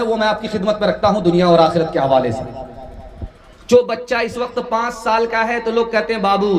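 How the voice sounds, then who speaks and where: Very high-pitched male preacher, echoing. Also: a bit bright. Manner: declamatory, melodic chanting of verses and plain storytelling. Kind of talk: religious sermon to a gathering, with anecdotes and sung poetry. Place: large religious gathering with a loudspeaker system. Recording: echoing public-address sound, faint music.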